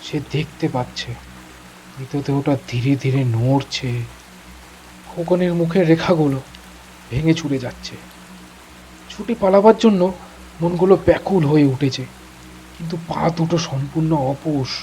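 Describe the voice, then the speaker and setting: A man's voice: a little high, measured pace, long pauses, warm tone, soft background music, poor audio. A man narrating a horror story in a suspenseful, theatrical, dramatic manner.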